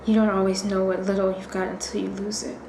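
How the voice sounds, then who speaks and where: A woman's voice: medium pitch, flat delivery, fast, gravelly, darkish tone, lightly acted. An actress playing a defiant, suspicious woman who insists she is the victim, intense and indignant, in a radio thriller. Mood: bitter. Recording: echoey room, noticeable noise.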